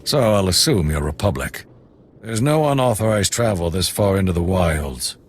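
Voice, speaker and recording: A deep-voiced outpost commander. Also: male voice, lively intonation, moderate pace, a little hiss or hum. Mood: gruff. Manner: blunt, dry, matter-of-fact. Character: gruff male outpost commander, blunt veteran. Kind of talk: video game cutscene.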